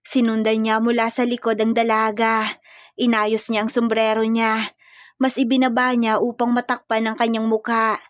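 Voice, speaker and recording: A woman's voice: fairly high pitch, no echo, studio quality. A woman with a Filipino accent reading a scripted dramatic story as its narrator.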